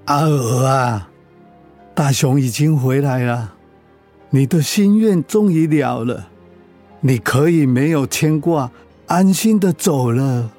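A man acting in a radio drama as a gentle, forgiving elderly father, consoling in manner. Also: medium pitch, slow, faint music behind. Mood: gentle sorrow. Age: older.

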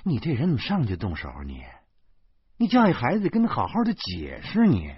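A man's voice: mid-range voice, wildly swooping pitch, a little slow, husky, no echo, highs cut off, full character voice. A man voicing an exasperated, nagging wife in an audio story, scolding indignantly and stumbling over words. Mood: reproachful.

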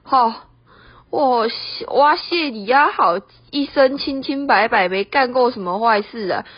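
Radio play: scolding middle-aged Taiwanese mother-in-law, highish voice, nagging , played by a young woman, dull lo-fi sound. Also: expressive, unhurried, husky. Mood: indignant. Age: young adult. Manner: nagging, switches between Hokkien and Mandarin. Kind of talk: amateur radio play acting. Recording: dull, lo-fi, roomy home recording.

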